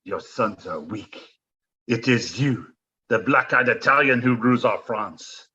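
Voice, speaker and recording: An actor playing a scheming, zealous French duke in an audio play, speaking in a grandiose, mocking, theatrical way. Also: male voice, mid-range voice, bright, forceful tone, slightly rough, dull audio. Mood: scornful, zealous. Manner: grandiose, mocking, florid delivery with dramatic drawn-out phrases.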